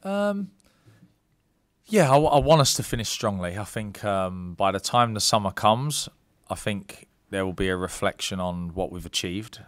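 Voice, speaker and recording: A man in his thirties, a football manager at a press conference, giving measured answers with frequent ums and restarts into a desk mic. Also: lowish voice, animated, fairly bright tone, dry recording, clean studio sound. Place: club press room.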